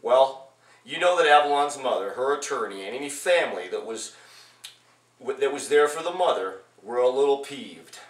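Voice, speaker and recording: A man's voice: mid-range voice, fairly bright tone. Man reading aloud in an echoey room. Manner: halting reading with repeated phrases. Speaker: author reading own book. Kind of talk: reading a personal memoir aloud. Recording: echoey room, distant from mic.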